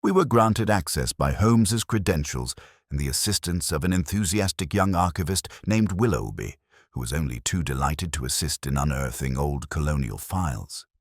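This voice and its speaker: A man's voice: a bass voice, animated, normal speed, no echo, studio quality. A man reading a detective story aloud as scripted audiobook narration.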